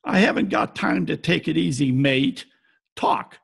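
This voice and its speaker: A wry, hardboiled gumshoe, played by a man in a radio detective play. The voice is rough with heavy fry, pitched high and swinging widely, with blunt, clipped lines recorded at home over a video call. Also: lively intonation, a little slow, heavily acted. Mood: impatient, blunt. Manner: clipped, blunt, wisecracking noir delivery. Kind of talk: old-time radio detective acting and narration.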